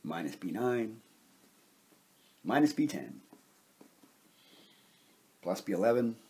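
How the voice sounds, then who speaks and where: A man with a slightly husky voice giving a methodical, step-by-step explanation as a science instructor at a whiteboard. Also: fairly low pitch, sing-song, slow, a little mellow. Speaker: chemistry instructor.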